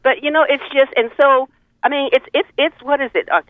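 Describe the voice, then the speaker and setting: A woman's voice: high voice, steady tone, fairly quick, no room sound. Tinny phone call, woman rambling with opinions, earthy, dry line. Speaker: caller to a radio talk show. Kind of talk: casual opinionated chat. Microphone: telephone.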